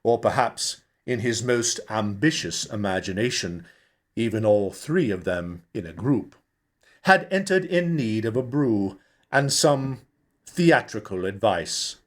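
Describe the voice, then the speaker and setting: A man reading a novel aloud as narrator, in an expressive, theatrical storytelling style. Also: fairly low pitch, a little slow. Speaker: audiobook narrator, actor. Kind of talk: dramatic scripted novel narration.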